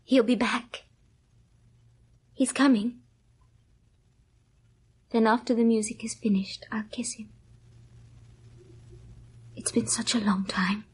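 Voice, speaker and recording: An actress playing a fragile, wistful young wife in a radio drama, speaking in a hushed, slow, dreamy and insistent way with a breathy voice. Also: female voice, on the high side, fairly even intonation, full character voice. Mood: dreamy, soothing. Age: young adult. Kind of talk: old radio drama acting.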